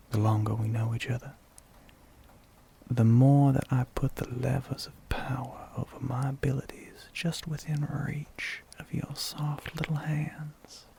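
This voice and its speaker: A man voicing a flirty, sly, gentlemanly demon with a Southern US accent in an ASMR roleplay, half-whispered, breathy and husky, with a teasing drawl. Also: medium pitch, a little hiss or hum. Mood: seductive, scheming. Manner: slow, teasing drawl with pet names.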